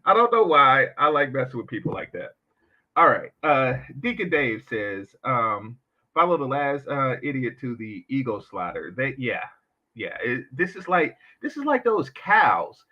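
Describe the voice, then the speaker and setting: A man hosting a reaction commentary, talking in an animated, sarcastic way with mocking asides. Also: mid-range voice, measured pace, highs cut off. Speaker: commentary channel host.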